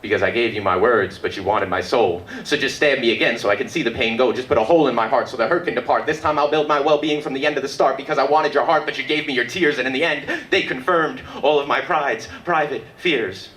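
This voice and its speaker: A man performing his own spoken word poetry at a live reading, in a rhythmic, dramatic delivery with deliberate pauses. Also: mid-range voice, a bit bright. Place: indoor book release reading before an audience. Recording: echoey live room with background noise.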